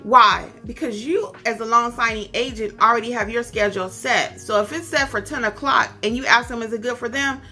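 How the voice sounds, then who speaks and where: A woman's voice: on the high side, a little fast, few pauses, pushed and bright, soft background music. An American woman giving an instructional talk as a tutorial host, stressing and repeating her key points. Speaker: instructor and host of tutorial videos for notary signing agents. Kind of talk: instructional talk with acted-out example phone calls.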